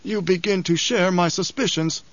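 A shrewd older spymaster, voiced by a man reading aloud, speaks in a mild, precise, lifted voice. He probes with calm questions. The recording is dry and a little muffled. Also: high voice, normal speed, no echo, pitched above the performer's own voice.

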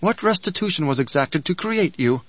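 A man's voice: high voice, moderate pace, no room sound, dull audio, pitched above the performer's own voice, close to an everyday voice. A man reading an audiobook, voicing a tense, guarded male swordsman who answers in clipped, defiant lines.

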